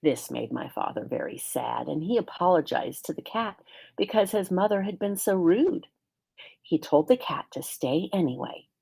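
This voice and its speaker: A woman's voice: low-pitched, gravelly, a little mellow, slightly rough. A woman reading a children's storybook aloud as a teacher does for pupils.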